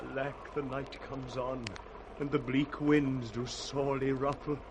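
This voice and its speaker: A man's voice: mid-range voice, flat delivery, a little slow, close to an everyday voice. An actor playing a troubled, loyal nobleman in a classical radio drama. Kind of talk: 1960s British radio Shakespeare acting. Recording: noisy vintage radio recording.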